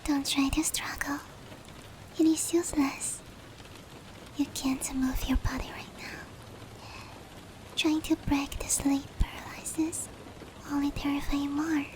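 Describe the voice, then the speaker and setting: A woman voicing a female sleep paralysis demon in a breathy, whispered roleplay ASMR style, with hushed, close, drawn-out phrasing. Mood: menacing, teasing. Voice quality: breathy, whispery, high-pitched. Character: female sleep paralysis demon, teasing menace turning tender. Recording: steady rain noise behind voice.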